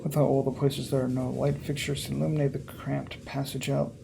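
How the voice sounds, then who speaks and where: A man's voice: medium pitch, level tone, brisk, creaky, background noise. A man reading a horror visual novel aloud as dramatic narration, now and then stumbling over words and rereading them.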